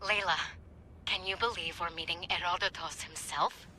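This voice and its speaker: An actress playing an enthusiastic young history researcher in a video game, eager and gushing. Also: female voice, fairly low pitch, steady tone, moderate pace, noisy background, close to an everyday voice. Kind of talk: video game cutscene acting.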